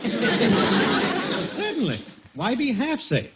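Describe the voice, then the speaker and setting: A man's voice: very high-pitched, wildly swooping pitch, slow pace, heavily acted. A man playing a jaunty, shameless neighbor in a 1940s radio comedy. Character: jaunty, shameless male neighbor in a family sitcom. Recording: muffled 1940s radio, loud noise.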